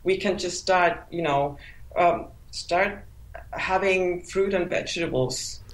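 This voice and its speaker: A woman's voice: fairly low pitch, slow, echoey room, poor audio. A woman whose first language is Finnish, answering interview questions as an author, often restarting and repeating words as she searches for them. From Finland. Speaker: author guest.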